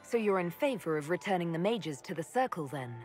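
A woman's voice: lowish voice, expressive, fairly quick, a little mellow, soft background music, natural voice. An actress playing a composed, diplomatic female elf mage in a fantasy game, formal and direct. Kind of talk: restrained video game dialogue.

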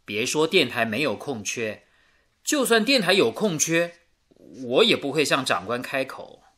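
An actor playing a principled, sensible young radio engineer in a radio drama, explaining things earnestly. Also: male voice, medium pitch, sing-song, measured pace, sharp tone, full character voice.

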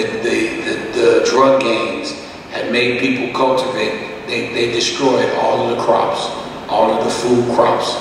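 Gravelly-voiced pastor in an echoey hall. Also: male voice, mid-range voice. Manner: conversational, halting with many fillers. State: slightly rough voice. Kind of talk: Bible study teaching. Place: church hall, indoors. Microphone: podium microphone, far camera. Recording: echoey hall, distant mic, background hiss.

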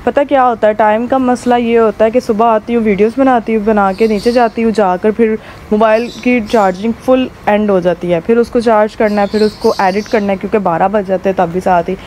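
A woman with a hoarse throat chats casually to camera as a vlogger, rambling with many fillers while filming on a rooftop. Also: medium pitch, fairly even intonation, a little fast, darkish tone, slight background noise. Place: rooftop outdoors.

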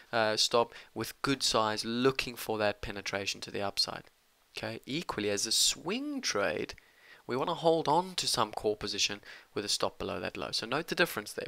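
Middle-aged male trading instructor, forceful and smooth tone, emphatic teaching delivery. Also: on the low side, no room sound. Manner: emphatic, punctuates points with "okay". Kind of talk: screen-share trading lesson.

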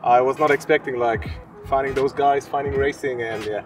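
A man's voice: medium pitch, fairly even intonation, normal speed, far from the mic. A man from Germany, a native German speaker, chatting casually outdoors as an interviewee. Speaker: interviewee, drone pilot. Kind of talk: casual chat. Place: outdoor parking lot at night. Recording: outdoors off-mic with music behind.

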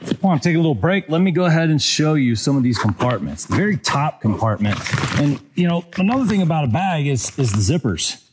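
A man's voice: fairly high pitch, brisk, a little hiss or hum. A man in his forties reviewing a hiking backpack in a casual, conversational way, filming himself on a wooded trail. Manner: relaxed, conversational, many fillers. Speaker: outdoor gear reviewer. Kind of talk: casual gear review vlog. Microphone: handheld action camera at arm's length.

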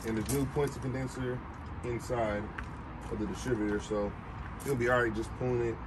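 Casual man over clear outdoor noise. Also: mid-range voice, steady tone, brisk. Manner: informal, slangy. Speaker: host of car restoration videos. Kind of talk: casual chat while working. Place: outdoor car yard. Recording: loud outdoor car yard noise.

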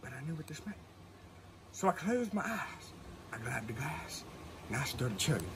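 A man's voice: on the high side, sing-song, moderate pace, a bit bright, husky, heavily acted. A man playing an earnest oddball who tells of alien encounters in a mock-serious, theatrical comedy monologue. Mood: mock-solemn.

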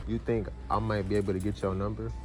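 Young man with smooth pickup lines. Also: fairly low pitch, little pitch movement, quick pace, over music. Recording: loud background noise in a store.

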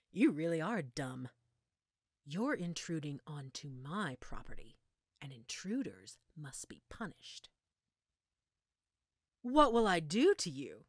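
A woman's voice: low voice, sing-song, slow pace, pauses often, no echo, clean studio sound, exaggerated voice. A woman playing a menacing, gloating female mad scientist in an audio roleplay, with a theatrical, taunting manner. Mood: mocking, amused, then menacing, teasing. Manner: mocking, theatrical, taunting endearments. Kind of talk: audio roleplay acting addressed to listener.

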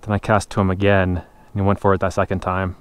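A man's voice: deep voice, completely flat delivery, fast talker. An American man talking casually and informally for a fishing vlog, in short understated remarks, on an action camera outdoors by a lake. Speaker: angler and vlogger. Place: outdoors on a mountain lakeshore. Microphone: action camera worn or held at arm's length. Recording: outdoor action-camera audio with clear background noise.

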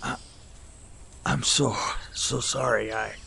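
A dying man in an audio drama, his voice heavily creaking and broken by gasps. He speaks slowly and remorsefully, wounded and weak, with faint outdoor noise behind him. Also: mid-range voice, steady tone, bright, forceful tone, heavily acted. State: gasping, coughing, badly wounded. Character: dying, apologetic man gasping out last words.

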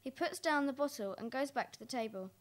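A woman's voice: sits high, lively intonation, brisk. A young woman reading scripted stage narration for a radio play. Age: young adult.

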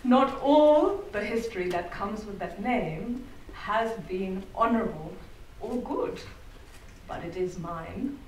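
A middle-aged woman gives a measured, articulate talk with the odd wry aside. Her voice sits at an ordinary pitch and carries from a distance. It echoes around a big theatre, with a faint hum of room noise underneath. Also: lively intonation, darkish tone. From Zambia. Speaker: speaker giving a talk. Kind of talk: prepared public talk. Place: theatre hall. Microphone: venue sound picked up from the back of the hall. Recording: far-off roomy hall capture.

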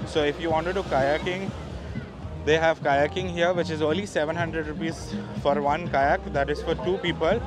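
A man's voice: on the high side, steady tone, normal speed, music in the background. Chatty male beach vlogger, narrow-voiced. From India. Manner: chatty, addresses viewers as guys, many fillers. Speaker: travel vlogger. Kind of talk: casual walking tour vlog. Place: outdoors on a busy beach. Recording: outdoor noise behind the voice.

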